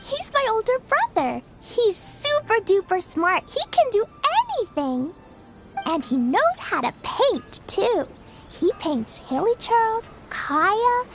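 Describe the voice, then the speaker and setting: A woman voicing a cheerful, innocent little girl in an animated video game, talking with childlike enthusiasm. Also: very high-pitched, exaggerated voice. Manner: childlike, enthusiastic, simple wording.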